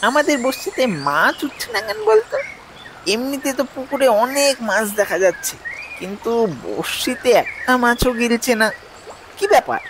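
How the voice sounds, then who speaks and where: Woman playing a cheeky, excitable young boy. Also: high voice, normal speed, background noise. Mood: puzzled. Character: mischievous, eager young boy, the narrator's fishing buddy. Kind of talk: animated audio story acting.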